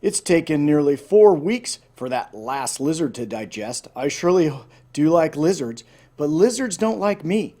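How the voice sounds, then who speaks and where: Man doing a loud, grumbling old snake. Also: fairly high pitch, big pitch swings, measured pace. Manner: grumbling, groaning, complaining. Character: grumpy, groaning old green snake with indigestion.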